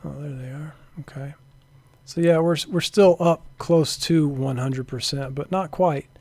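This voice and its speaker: Higher-voiced man thinking aloud through a demo. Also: unhurried, darkish tone. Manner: thinking aloud, hesitant, trailing off to a mutter. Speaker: software developer demonstrating a networking tool. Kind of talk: informal screen-share demo narration.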